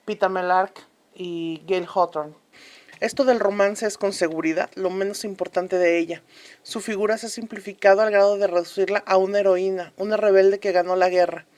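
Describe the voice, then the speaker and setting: An earnest actress playing a woman commentator in a scripted radio drama about a fictional republic. She speaks solemnly and with emphasis, repeating phrases deliberately as she reflects on history. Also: mid-range voice, a little mellow, natural voice.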